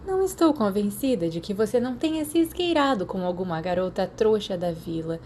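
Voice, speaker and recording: A woman's voice: mid-range voice, sing-song, a little fast, darkish tone, a little hiss or hum, natural voice. A Brazilian woman voicing feisty, bossy teenage girls in an audiobook, accusing and exclaiming. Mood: skeptical. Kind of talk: audiobook character voice.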